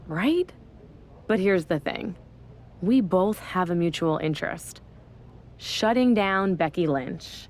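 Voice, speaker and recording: A scheming female wrestler, talks in a lowish voice. She is flattering and theatrical, wheedling in scripted game cutscene style with faint noise behind. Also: takes it slowly, long pauses.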